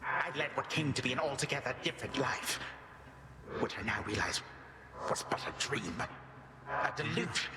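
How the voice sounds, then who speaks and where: A man's voice: medium pitch, lively intonation, normal speed, long pauses, lightly acted. An actor playing a defeated, proud old titan grandfather in a video game, speaking in solemn, archaic, formal phrases. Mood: resigned, solemn. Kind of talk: dramatic video game voice acting. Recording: echoey hall reverb, noisy background.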